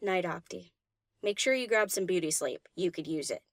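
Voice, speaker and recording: A woman's voice: lowish voice, expressive, moderate pace, no room sound, clean studio sound. A woman voicing an easygoing young-spirited DJ pony in a fan audiobook, casual, slangy and teasing. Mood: sleepy teasing. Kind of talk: fan audiobook character acting.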